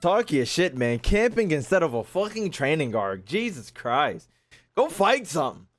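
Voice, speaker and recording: A man's voice: on the high side, very animated, normal speed, soft background music. A man giving casual, slangy, profane reaction commentary as a gaming video creator, in a joking manner.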